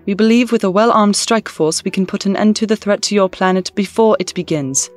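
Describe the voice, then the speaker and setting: A woman voicing a regal, scheming alien empress with a formal, measured manner, in an audio drama. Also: mid-range voice, faint music behind, close to an everyday voice.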